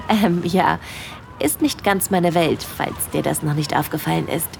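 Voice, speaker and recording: A woman playing a shy yet bold young cruise guest in an intimate audio drama, speaking softly and hesitantly. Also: deep voice, a little slow, gravelly, slight background noise. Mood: shy, amused.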